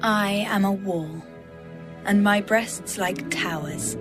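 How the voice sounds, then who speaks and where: A woman playing a devoted, proud young bride in a dramatised Bible reading, speaking in a measured, poetic manner. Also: mid-range voice, over music, close to an everyday voice. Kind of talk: dramatised audio Bible reading over music.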